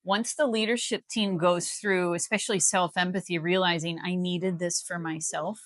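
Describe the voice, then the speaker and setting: A woman's voice: on the low side, steady tone, moderate pace, no echo, studio quality. An American woman explaining things in a live interview as a guest, in an emphatic, persuasive manner. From Missouri, United States. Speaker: healthcare company CEO, interview guest.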